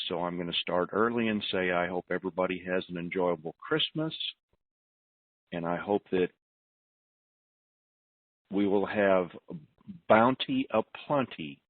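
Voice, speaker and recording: Man, call host, low-ish voice, unhurried plain delivery, informal announcements, tinny telephone sound. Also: no echo. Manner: slow, deliberate, plain-spoken. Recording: narrowband telephone conference line.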